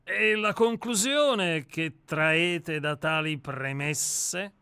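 A man's voice: fairly high pitch, very slow, bright, forceful tone, clear, resonant tone, dry recording, voice pushed up, exaggerated voice. A man voicing an ironic, composed famous novelist who is also a murderer, in a dramatized radio play reading. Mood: wry.

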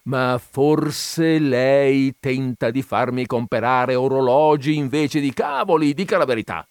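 A man's voice: mid-range voice, a little slow, smooth, no echo, clean studio sound, voice pushed up, close to an everyday voice. A man putting on a cranky stubborn gent. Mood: exasperated. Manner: loud, indignant, argumentative. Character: cranky, absurdly stubborn man who shouts easily.

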